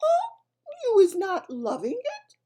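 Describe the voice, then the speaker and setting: A kind, timid old giant pleads and coaxes in a high, eager voice full of mangled grammar, as a woman puts him on while reading a storybook. The voice swoops up and down and stays soft and breathy. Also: big pitch swings, unhurried, full character voice. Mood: innocent.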